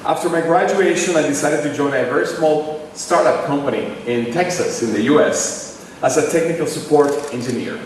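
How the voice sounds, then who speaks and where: A man with a Venezuelan accent, likely in his forties, giving a formal commencement address in measured, phrase-by-phrase delivery. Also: on the high side, slight background noise. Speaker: commencement speaker. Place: large ceremony hall. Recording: echoey hall recorded from far off.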